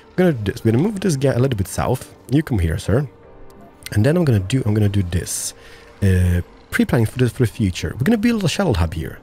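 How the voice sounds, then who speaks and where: A hoarse man losing his voice, hosting excitable gameplay commentary with sudden high-pitched exclamations. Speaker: gaming channel host.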